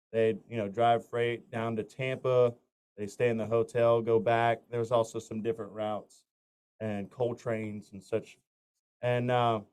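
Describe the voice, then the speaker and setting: A man from north Florida tells stories at an easy, conversational pitch. His voice is smooth and resonant. He rambles through anecdotes, restarting phrases and dropping in plenty of "um"s and "you know"s. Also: a little mellow. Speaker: country singer, interview guest. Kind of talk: casual storytelling interview.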